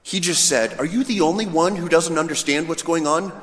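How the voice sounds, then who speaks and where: A man's voice: on the high side, fairly quick, a bit bright. A male priest giving an informal, conversational homily in a storytelling manner. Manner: storytelling, voicing imagined dialogue. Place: large reverberant church. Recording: echoey hall with long reverb.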